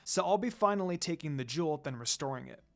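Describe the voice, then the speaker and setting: A kind teenage girl in a fantasy story, voiced by the narrator. She talks softly and earnestly, with gentle concern and a determined streak. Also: medium pitch, animated, fast talker, creaky, faint music behind.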